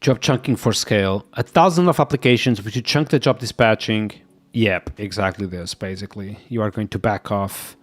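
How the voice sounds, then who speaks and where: A man with a Portuguese accent, a native Portuguese speaker, streaming live as he codes. He thinks aloud, muttering to himself and repeating quick agreements as he reads, and he sounds sick. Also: fairly low pitch. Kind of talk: thinking aloud while coding, chatting with viewers.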